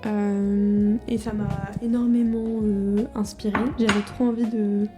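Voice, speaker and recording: A woman's voice: medium pitch, level tone, a little slow. A woman, talking informally and hesitantly in a casual video diary, as if to her future self. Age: 20s. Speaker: vlogger. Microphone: microphone in front of a camera. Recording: music behind the voice. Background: music.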